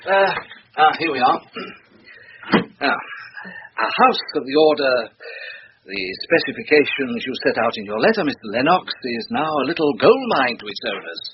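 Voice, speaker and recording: An actor playing a fussy, deferential English house agent in a radio drama, hesitant and over-polite, on an old recording. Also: male voice, mid-range voice, big pitch swings, normal speed, gravelly, dull audio, noisy background. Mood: salesman-like, courteous.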